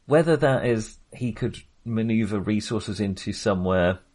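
A man with a fairly low voice talks casually about film as a podcast co-host. He rambles, hunting for the right word and cutting across his own sentences. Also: normal speed, a little mellow. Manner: rambling, searching for words, self-interrupting.

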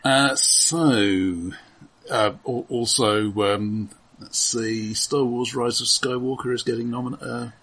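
A man co-hosting a film podcast, talking casually and haltingly as he runs down lists. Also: mid-range voice, steady tone, a bit bright, breathy edge. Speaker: podcast co-host. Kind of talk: casual film chat, running down a list.

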